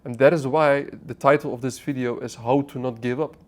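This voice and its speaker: A man's voice: mid-range voice, steady tone, brisk, a little mellow. Brief: man, twenties, raised in the Netherlands, talks to camera in a casual motivational monologue, blunt, curses, restarts phrases. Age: 20s. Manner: blunt, swearing, restarts mid-sentence, addresses viewer directly. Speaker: online business vlogger. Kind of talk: unscripted motivational talk to camera. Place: apartment.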